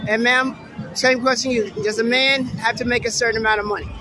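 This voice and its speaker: A man running a street interview at night, teasing his guests and laughing loudly at their answers. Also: very high voice, steady tone, fast talker. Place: busy city street at night. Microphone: phone held at arm's length. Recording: noisy street with music and crowd. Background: music and crowd noise.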